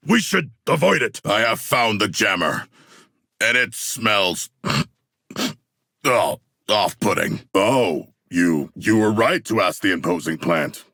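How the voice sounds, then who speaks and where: An actor playing a huge, eloquent humanoid shark warrior in a video game, earnest and fierce, with a gravelly voice and stilted, formal diction. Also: male voice, lowish voice, big pitch swings, takes it slowly, bright, forceful tone, dry recording, studio quality, heavily acted. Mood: calm, considered. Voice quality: gravelly, rough. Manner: formal, stilted, precise diction with big words. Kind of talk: game combat barks and dialogue.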